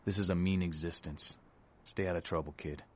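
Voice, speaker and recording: An actor playing a world-weary, tough vampire rebel leader in a video game, gruff, terse and streetwise. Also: male voice, low-pitched, fairly even intonation, brisk, no echo. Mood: weary, stern.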